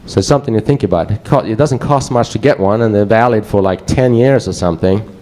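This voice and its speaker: A man's voice: lowish voice, quick pace, darkish tone. A man with a Swedish accent giving a casual, joking conference presentation to a live audience. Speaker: conference speaker.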